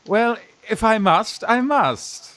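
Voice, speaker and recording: A man in a radio farce playing a young fellow who poses as a gruff, flustered old aunt, halting and blustering. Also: high voice, animated, fairly bright tone, no echo, full character voice. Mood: flustered, prim. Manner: blustering, halting, repeats words. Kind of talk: stage farce radio acting.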